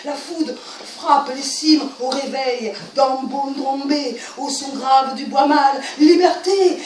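A woman with a high voice declaims lyric poetry, solemn and incantatory. She is some way from the microphone in a reverberant room. Her delivery is emphatic and theatrical, as in a staged recitation. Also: takes it slowly, faint music behind. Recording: roomy, distant mic, faint noise.

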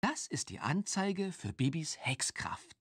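A husky game helper, giving scripted tutorial lines for a children's witch adventure. The delivery is lively in pitch and breathy, in a dry recording. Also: unhurried, no room sound, exaggerated voice. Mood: explaining.

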